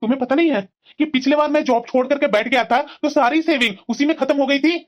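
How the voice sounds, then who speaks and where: A man's voice: very high voice, quick pace, lo-fi sound. A man playing an evasive husband and doting uncle in a moral-story cartoon, irritable at home. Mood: irritated.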